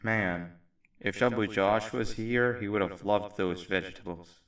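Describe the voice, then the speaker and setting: Low-voiced, easygoing creature pal. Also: male voice, unhurried, a bit bright, recorded from a distance. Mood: casual, curious. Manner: casual, chatty, kindly. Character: friendly, easygoing, considerate male creature companion. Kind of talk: video game character acting.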